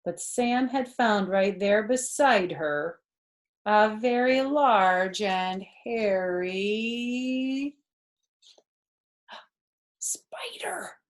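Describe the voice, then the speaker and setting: A middle-aged woman doing a read-aloud storytime for children, explaining things simply in a deliberate way with chatty asides, recorded in a living room. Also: medium pitch, animated. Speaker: storyteller reading picture books to children. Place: small furnished living room, indoors.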